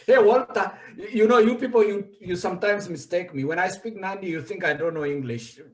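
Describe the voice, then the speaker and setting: A man hosting a livestream book launch, reading out viewer comments in an animated, chatty way. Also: sits high, fairly quick. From Kenya. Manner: animated, reads out comments, laughs easily. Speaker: host. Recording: echoey room.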